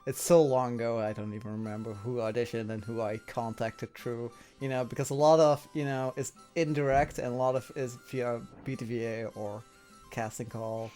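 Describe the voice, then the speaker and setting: A man with a medium-pitched voice hosts a casual interview on an online call. He speaks informally and haltingly, thinking aloud, over faint guitar music. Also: fairly even intonation, mellow. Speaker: interviewer, fan project producer.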